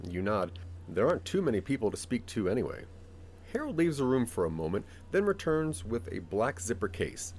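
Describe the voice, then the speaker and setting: A man narrating a scripted second-person audio drama as a measured, dramatic storyteller. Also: fairly low pitch, big pitch swings, a little mellow, slight background noise.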